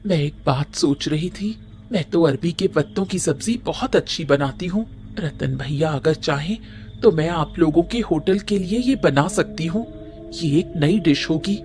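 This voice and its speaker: A woman's voice: deep voice, animated, unhurried, darkish tone. A woman playing a helpful, determined village cook in a Hindi cartoon story, earnest and encouraging. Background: background music.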